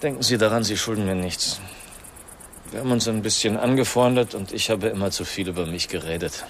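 Male actor as fatalistic fugitive gentleman for radio noir: lowish voice, melancholy and resigned, faint vehicle noise behind. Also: brisk, lightly acted. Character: weary, fatalistic male friend on the run. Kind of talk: German radio play acting.

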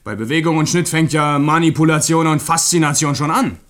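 An actor playing a smug, doctrinaire young film theorist, self-assured and pedantic, in a radio play. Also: male voice, fairly high pitch, a little fast, fairly bright tone, natural voice. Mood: earnest, pedantic. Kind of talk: German radio drama acting.

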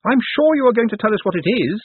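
A man voicing a sharp, sceptical, persistent woman newspaper reporter in an audiobook, with pointed questions in a raised voice. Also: high voice, wildly swooping pitch, brisk, husky, no echo, voice pushed up, heavily acted. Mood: probing. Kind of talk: audiobook character voice. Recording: thin phone-like narrow-band audio.

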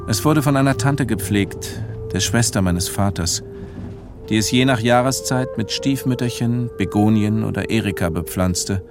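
A middle-aged man narrating a literary radio play. His voice is low, measured and reflective, with a weary melancholy. Music plays clearly behind him.

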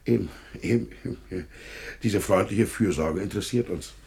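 Low-voiced, officious police inspector. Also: male voice, normal speed, creaky, darkish tone. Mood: sardonic, insinuating. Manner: officious, insinuating, dryly condescending. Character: male police chief inspector, officious, sardonic, condescending. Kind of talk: German radio play acting.

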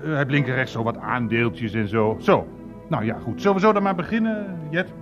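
A man's voice: mid-range voice, expressive, a little fast, natural voice. An actor playing a folksy pensioned uncle in his sixties in a radio play, rambling and hesitant. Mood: rambling, affable. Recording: dull lo-fi radio recording, music behind.

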